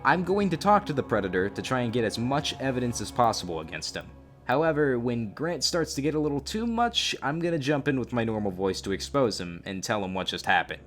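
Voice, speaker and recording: Lively male YouTuber over faint music. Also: medium pitch, brisk. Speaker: YouTuber, channel host. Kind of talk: scripted story narration and confrontation.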